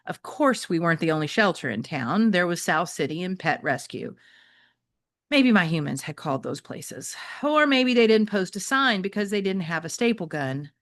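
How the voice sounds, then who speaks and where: A woman voicing a wry, wistful three-legged shelter dog who loves food, telling a children's story in an expressive, conversational way. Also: fairly low pitch, normal speed, no echo, studio quality, natural voice. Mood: wistful, hurt. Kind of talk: first-person children's novel read aloud.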